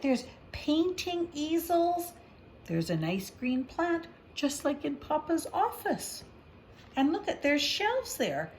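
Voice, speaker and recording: A woman's voice: on the high side, a little hiss or hum, poor audio. A woman reading a picture book aloud, with chatty asides and questions as if to a young child. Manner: conversational, explaining to a young child, gentle questions. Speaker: storybook reader.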